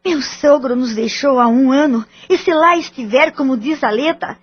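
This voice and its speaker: A woman's voice: high voice, moderate pace, no room sound, natural voice. A woman playing an anxious, polite married mother in an audio drama, speaking formal Brazilian Portuguese with careful, pleading delivery. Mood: distressed, pleading. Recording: dull lo-fi audio drama recording.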